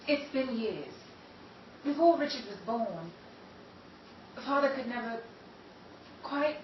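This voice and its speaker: A woman playing an anguished, reluctant middle-aged daughter in an amateur radio play, pleading emphatically and haltingly. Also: on the high side, close to an everyday voice. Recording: echoey, noisy, dull, distant microphone.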